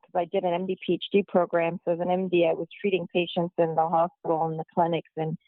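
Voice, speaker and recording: A woman from Guyana answering interview questions slowly and deliberately, heard over a tinny phone-like line. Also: fairly low pitch, level tone, dry recording. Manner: slow, measured and deliberate. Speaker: guest physician-scientist.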